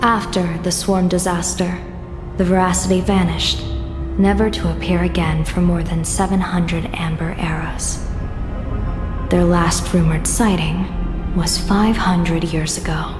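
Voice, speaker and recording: A shrewd woman general from a video game, played by an actress. She speaks in a lowish, measured, lightly amused voice. It echoes in a big reverberant space with music behind. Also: level tone, close to an everyday voice. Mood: grave, narrating. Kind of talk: video game dialogue acting.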